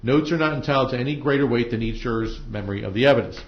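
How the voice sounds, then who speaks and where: A man's voice: mid-range voice, very fast. A man speaking as a judge, giving informal, plain-spoken instructions in a courtroom, explaining things step by step. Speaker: judge presiding over a trial. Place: indoor courtroom. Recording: roomy, dull courtroom audio.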